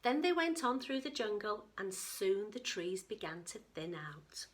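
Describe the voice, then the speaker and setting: A woman teacher giving an expressive storytime read-aloud for young children, with chatty asides to her listeners. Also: medium pitch, measured pace, slight background noise.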